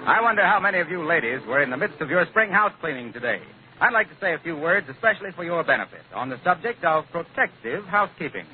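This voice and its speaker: A man's voice: a little high, very animated, quick pace, over music. A man announcing in a polished, declamatory 1940s radio style, reading from a script. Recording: noisy, narrow-band old radio recording.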